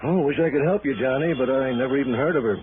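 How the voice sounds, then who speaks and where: A man's voice: medium pitch, fast talker, narrow, tinny audio, lightly acted. An actor playing an easygoing male police lieutenant, casual and friendly, in a 1960s radio drama. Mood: relaxed, doubtful. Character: easygoing male New York police lieutenant, investigator's old pal. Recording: thin old radio recording, faint noise.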